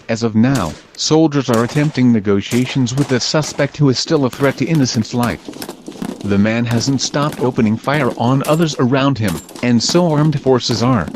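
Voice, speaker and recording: A man reading scripted emergency broadcast announcements as an alert announcer. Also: medium pitch. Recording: clearly noisy background.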